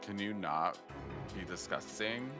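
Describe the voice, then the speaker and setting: A man's voice: fairly low pitch, a little slow, music in the background. A man bantering casually with fellow players on a game stream. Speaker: co-streamer and Discord mod.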